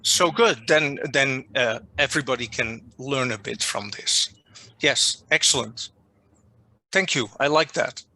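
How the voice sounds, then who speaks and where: A man with a Dutch accent, a non-native English speaker, giving an online lecture as a journal editor and restating his points deliberately over a video call. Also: medium pitch, sharp tone, poor audio. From Netherlands. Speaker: journal editor presenting a workshop. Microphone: video call microphone.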